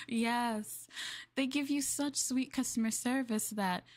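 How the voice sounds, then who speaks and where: A woman streamer chatting casually in a maid roleplay, rambling and laughing softly as she addresses her master. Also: on the high side. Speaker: VTuber streamer.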